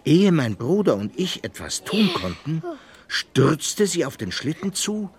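An actor playing a wistful older brother who narrates a fairy-tale adventure in a children's radio play, measured and expressive. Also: male voice, on the high side, slightly rough, heavily acted. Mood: suspenseful. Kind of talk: scripted first-person storytelling in children's radio play.

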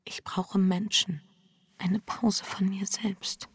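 A woman's voice: medium pitch, level tone, slow pace, whispered, lightly acted. An actress playing an anxious, unfaithful wife who confides her inner life intimately and intensely in a literary radio play. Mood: anxious, hushed. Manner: intimate, intense first-person narration. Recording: music behind the voice. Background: music.

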